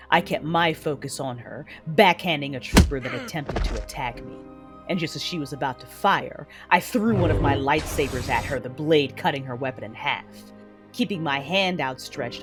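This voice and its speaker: An actress playing a cruel, cold cyborg warrior mother in an audio drama, measured, menacing and commanding. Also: a bass voice. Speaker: narrator of own story in audio drama. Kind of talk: dramatic first-person narration and acting. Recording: music bed behind voice.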